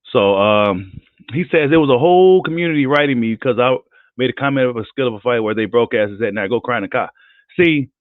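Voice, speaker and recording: A hoarse man who says he is losing his voice, ranting heatedly and profanely on a live boxing vlog. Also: medium pitch, no echo. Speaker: boxing commentator. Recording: tinny phone-like line, dry.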